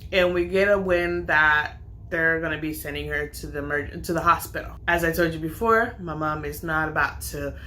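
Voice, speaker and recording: Woman vlogger, storytime narration, low pitch, crisp forward tone, chatty and full of reported talk. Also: normal speed, bright, forceful tone, a little hiss or hum. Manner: chatty, retells talks with "I'm like" quotes. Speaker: vlogger and nursing student. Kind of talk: storytime retelling.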